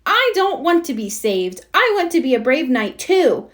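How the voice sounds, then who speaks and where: A woman's voice: high voice, big pitch swings, normal speed, pitched above the performer's own voice. A woman voicing a spirited, defiant young girl beaver in a children's storybook.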